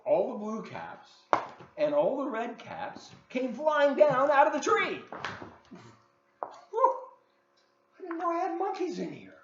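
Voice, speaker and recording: A children's story reader reading a picture book aloud expressively, with dramatic emphasis and asides to young listeners. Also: mid-range voice. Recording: roomy, dull lo-fi, faint noise.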